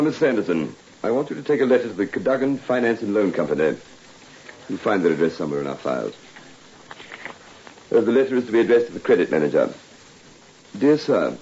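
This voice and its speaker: Cold, domineering boss in old radio drama. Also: male voice, fairly low pitch, warm tone. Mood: businesslike. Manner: curt, domineering, formal. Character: cold, scheming male office boss. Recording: dull, lightly noisy old radio recording.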